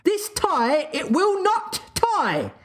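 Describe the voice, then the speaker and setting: A panicked, sarcastic storybook father rants at full tilt, put on by the reader, his voice shooting far above a normal range. He yells and pleads theatrically, and he wildly overstates every complaint. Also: extremely high pitch, huge pitch swings, very slow, pitched above the performer's own voice, full character voice. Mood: exasperated. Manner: yelling, melodramatic, sarcastic. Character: frantic sarcastic Edwardian father.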